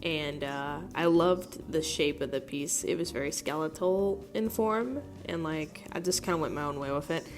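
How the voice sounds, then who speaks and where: A woman's voice: low voice, moderate pace, few pauses, soft background music. A young woman with a stuffed-up, nasal voice, rambling casually over her art footage with lots of fillers and swearing. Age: young adult. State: congested, nasal. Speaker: art vlogger. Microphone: phone.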